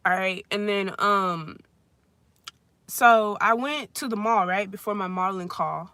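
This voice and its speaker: Bright, forceful young vlogger rambling. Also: mid-range voice, measured pace, smooth, no room sound. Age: 20s. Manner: rambling, heavy use of "like" fillers; blunt and profane. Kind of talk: casual storytime rant. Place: friend's house.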